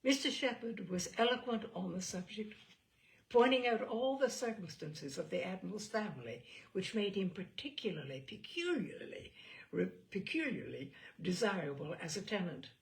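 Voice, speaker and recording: A woman reading a classic novel aloud as an audiobook narrator, in an expressive storytelling style. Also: medium pitch, normal speed, creaky, rough recording.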